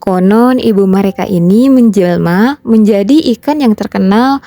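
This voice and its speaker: A woman's voice: mid-range voice, moderate pace, warm tone. A woman narrating a scripted children's folktale as a storyteller. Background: background music.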